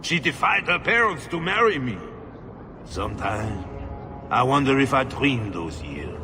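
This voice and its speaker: A man's voice: medium pitch, very animated, unhurried, music in the background. A man playing a bitter, self-pitying nobleman husband in a video game, defensive and world-weary, sighing as he talks. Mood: wistful, bitter. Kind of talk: dramatic video game dialogue.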